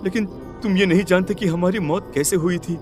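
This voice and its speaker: Horror cartoon spirit: embittered village uncle, done by a man, lowered voice, sorrowful halting delivery. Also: steady tone, a little mellow, soft background music, lightly acted. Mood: bitter sorrow. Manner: bitter, halting. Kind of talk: dramatised horror cartoon story.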